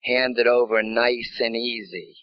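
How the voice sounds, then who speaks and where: Man acting a slow, menacing radio henchman. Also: mid-range voice, flat delivery, clean tone, no echo, lightly acted. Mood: cold threat. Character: slow, menacing male henchman, humorless and cold. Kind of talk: old-time radio gangster acting. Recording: dull, dry lo-fi sound.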